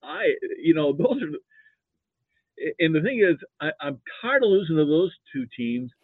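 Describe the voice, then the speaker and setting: An older American man chatting casually about sports as a podcast guest, halting and repeating himself, over a thin call connection. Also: on the high side, big pitch swings, dry recording. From Nebraska, United States. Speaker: podcast guest, football fan. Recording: thin, narrow phone-like line.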